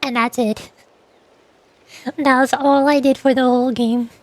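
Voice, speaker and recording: High-voiced woman streamer chatting casually. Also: flat delivery, measured pace, no room sound. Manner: rambling, self-interrupting, laughs at herself. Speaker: VTuber streamer. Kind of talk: casual livestream chat.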